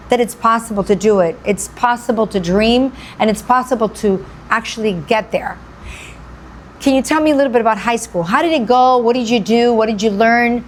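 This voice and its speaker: Effusive woman host, a bit high. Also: a little hiss or hum. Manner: effusive, repeats phrases for emphasis. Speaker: radio show host. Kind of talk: enthusiastic interview.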